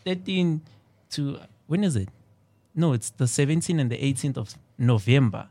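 A man's voice: mid-range voice, animated, normal speed, mellow, dry recording. A South African man in his twenties, a panel guest making a persuasive case and checking for agreement with "right?". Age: 20s. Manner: persuasive, repeats "right?" for assent. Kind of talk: earnest opinion in casual chat. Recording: dry studio sound.

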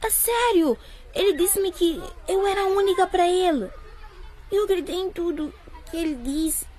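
A woman's voice: very high voice, moderate pace, darkish tone. A woman playing an earnest, anxious pregnant teenage schoolgirl in a radio drama, with a deferential, emotional manner. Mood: shocked, hurt.

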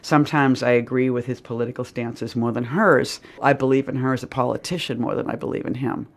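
A woman's voice: a bass voice, fairly quick. A woman with a Boston accent, a poet talking casually in an interview, rambling with lots of "you know" and "like".

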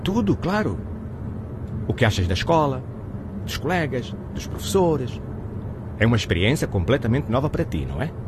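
A man's voice: mid-range voice, very animated, normal speed, long pauses, close to an everyday voice. An actor playing a cheerful, curious middle-aged father in a radio drama, chatty and encouraging. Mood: curious, jolly. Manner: chatty, eager, probing. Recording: clear outdoor traffic noise behind. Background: car and street ambience.

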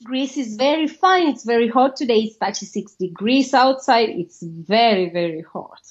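A woman from Kenya talks as a guest on a podcast, relaxed and laughing between her words, often pausing on uh. Her voice comes through a somewhat muffled remote line. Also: a little high. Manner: warm, laughs while talking, many uhs. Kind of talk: casual interview.

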